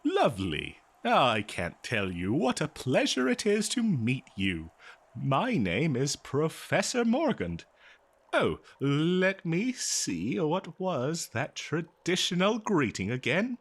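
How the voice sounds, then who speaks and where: Man playing a courteous fantasy professor, nervous and effusive, slow halting delivery, very animated swooping intonation, audio drama. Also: a little high, husky, exaggerated voice. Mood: friendly, eager. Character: eager, nervous, courteous male human professor of ancient civilizations. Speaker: voice actor in an audio roleplay. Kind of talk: fantasy audio roleplay acting, addressing the listener.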